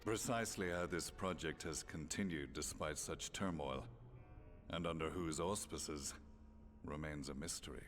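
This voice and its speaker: Game role: grave ex-commander, middle-aged, deep-voiced, measured and archaic in speech, weighed by regret. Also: male voice, fairly bright tone, faint music behind. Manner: solemn, measured, archaic formal diction. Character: grave, formal, remorseful middle-aged male former imperial commander. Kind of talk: video game cutscene voice acting.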